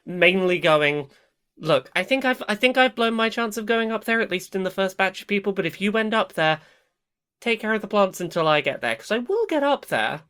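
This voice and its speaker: A woman playing in a tabletop game who speaks in a relaxed, casual way. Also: mid-range voice, brisk. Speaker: player.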